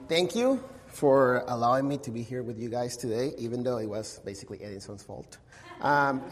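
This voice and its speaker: A young man from Venezuela with a Venezuelan Spanish accent, preaching a sermon in an informal, friendly way, often tagging lines with "right?". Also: medium pitch. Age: young adult. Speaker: guest preacher. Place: church sanctuary. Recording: church hall reverb.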